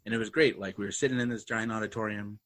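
A man's voice: fairly low pitch, steady tone, fast, a bit bright. A young man co-hosting a casual chat, talking easily with many fillers and laughs. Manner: chatty, laughing, filler-heavy. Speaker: podcast co-host.

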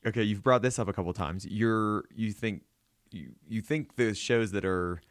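A man's voice: lowish voice, normal speed, no room sound. Teasing American man, dry close sound. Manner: joking, teasing. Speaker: podcast host. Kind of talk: casual podcast conversation.

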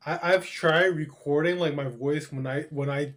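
A man's voice: fairly high pitch, fairly even intonation, moderate pace, fairly bright tone. A young man chatting casually on a podcast, slangy and swearing often, calling people dude. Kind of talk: casual chat.

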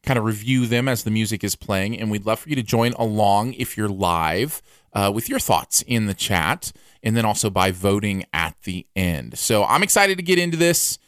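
A man co-hosting a casual music review podcast, chatty and animated, his voice going a bit hoarse. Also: on the low side, moderate pace, no echo, studio quality. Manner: animated, laughs easily, thinks aloud. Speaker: podcast co-host. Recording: music playing underneath.

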